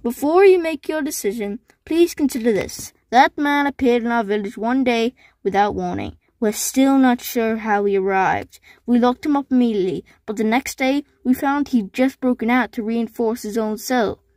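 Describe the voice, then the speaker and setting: A woman's voice: on the high side, normal speed, few pauses, darkish tone, no echo, close to an everyday voice. A woman voicing a polite, fair-minded village leader in an amateur cartoon comic dub, formal and earnest. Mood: earnest, pleading.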